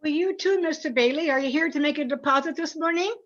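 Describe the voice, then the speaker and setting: A muffled call recording of a polite, patient bank teller from a radio play, acted by a woman. The voice is high and courteous. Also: fast, fairly bright tone. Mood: politely helpful. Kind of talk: community theatre radio play acting. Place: home, over video call. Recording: muffled video call audio.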